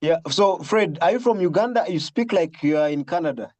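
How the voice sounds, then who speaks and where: A man hosting a casual group video call, speaking haltingly and often repeating his words. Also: a little high, animated, darkish tone, no echo. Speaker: host of an online film session.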